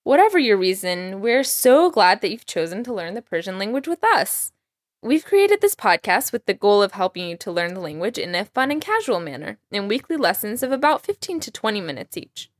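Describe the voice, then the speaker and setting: A young woman raised in Texas, speaking with a Texan American accent, hosting a podcast language lesson with clear, careful pronunciation. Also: medium pitch, sing-song, fairly quick, no room sound, clean studio sound. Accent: American English, Texas. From Iran. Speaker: language teacher, podcast host.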